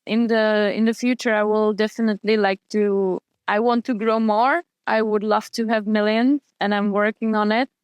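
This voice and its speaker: A young woman answers interview questions in a smooth, clear voice recorded dry and close. She talks at an average pitch and often stops to restart her sentences. Her delivery is hesitant and full of fillers. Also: fairly even intonation, moderate pace, hardly any pauses, no room sound. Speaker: guest, wing foil and kiteboard athlete.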